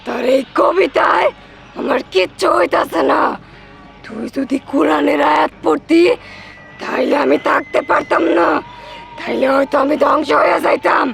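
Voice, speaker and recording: Creaky, shouting vengeful ghost. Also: sits high, moderate pace, soft background music, a faint crowd, full character voice. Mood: scornful, threatening. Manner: threatening, rustic colloquial speech. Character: menacing, vengeful man-eating ghost. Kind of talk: amateur horror-comedy short film acting.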